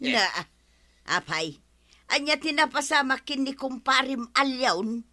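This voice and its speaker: Scolding wife, sharp tongued, high and piercing, village radio comedy. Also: female voice, expressive, a little slow, exaggerated voice. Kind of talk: comedic radio drama acting.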